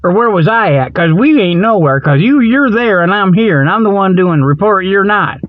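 A man acts out a folksy comic radio host with a high-pitched voice. He delivers a mock report in loose, colloquial talk, circling back and repeating himself. The sound is dry and slightly dull, like lo-fi radio. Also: expressive, moderate pace, no echo. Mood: rambling, amused. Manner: rambling, repetitive, chuckles at own jokes. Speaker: comedy radio show host. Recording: dull lo-fi audio.

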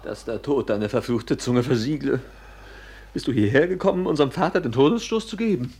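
An actor playing a treacherous young nobleman in a classic radio drama, with a husky, insinuating, theatrical delivery. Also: mid-range voice, fairly quick. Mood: feigned grief. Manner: theatrical, insinuating, swinging from hushed to loud.

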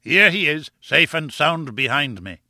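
Big blustering professor, performed by a male narrator for a storybook: pompous, mocking bully, forceful boom, widely swinging pitch. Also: big pitch swings, measured pace, dry recording, studio quality, exaggerated voice. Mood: gruff, sardonic. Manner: booming, rumbling, pompous. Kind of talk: audiobook character acting.

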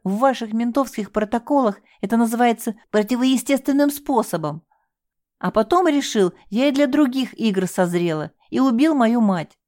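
Hysterical young woman, high and shrill, bitter and raw, read by a woman narrator in a dry, close audiobook. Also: moderate pace, hardly any pauses, dark tone, dry recording, lightly acted. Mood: furious. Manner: sobbing, shrill, desperate pleading.

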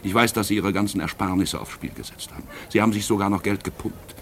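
An actor playing a worldly, paternal older playwright in a 1950s radio play, eloquent and drily ironic. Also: male voice, lowish voice, fast, fairly bright tone. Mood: admonishing. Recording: noisy 1950s radio drama recording.

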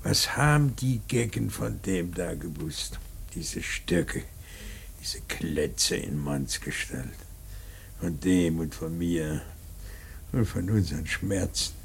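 A man playing a grieving, bitter old painter in a radio drama, speaking in a halting, emphatic, theatrical monologue with a rough, worn voice. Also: deep voice, animated, slight background noise, heavily acted. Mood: bitter, muttering. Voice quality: husky, gravelly. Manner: halting, emphatic, swelling from murmurs to outcries. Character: grieving old painter mourning his dead son, bitter, rambling.

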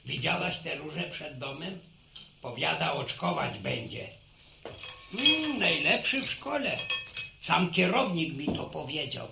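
Old village grandfather, very creaky, folksy and soothing, roomy radio-drama sound. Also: fairly high pitch, animated, measured pace, full character voice. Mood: reflective. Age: older. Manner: chuckling, repeats words, rustic sayings. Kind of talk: naturalistic radio drama acting. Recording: roomy radio drama recording, faint noise.

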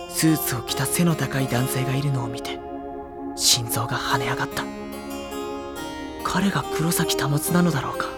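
A man plays a sensitive high-school boy with a youthful, high voice. He is earnest and anxious, telling his own story as audio drama, with clear music behind him. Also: natural voice. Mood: hushed dread. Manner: earnest, introspective. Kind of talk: dramatic first-person narration and dialogue.

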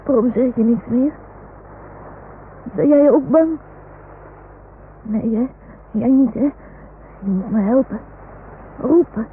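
A woman playing a small, earnest boy in an old radio drama, speaking slowly in simple childlike phrases. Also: on the high side. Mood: anxious, pleading. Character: small earnest boy talking to his toy dog. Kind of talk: 1960s Dutch radio drama acting. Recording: noisy, muffled old radio recording.

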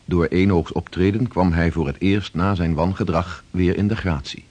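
A man in a radio drama playing a grave seafarer who recounts hardship in measured, scripted narration with a husky voice. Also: steady tone, darkish tone. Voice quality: husky, deep.

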